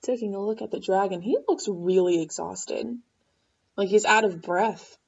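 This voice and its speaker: A woman's voice: medium pitch, moderate pace, creaky, darkish tone, breathy edge, highs cut off. A woman reading a picture book aloud to children expressively, with asides and questions for the listeners. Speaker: storybook reader.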